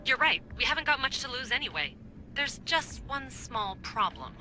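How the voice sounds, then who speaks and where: A woman's voice: high voice, very animated, moderate pace, sharp tone, a little hiss or hum, heavily acted, rough recording. A woman playing a friendly, wry technical assistant, conversational and lightly teasing, in a naturalistic video game cutscene. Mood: helpful, sceptical. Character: friendly, wry female tech assistant, loyal but doubtful. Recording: clear music behind voice.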